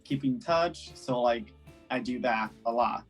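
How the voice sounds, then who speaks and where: A man's voice: medium pitch, measured pace, soft background music. A man in his twenties from China, Chinese his first language, answering interview questions casually with many fillers. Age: 20s. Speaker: guest.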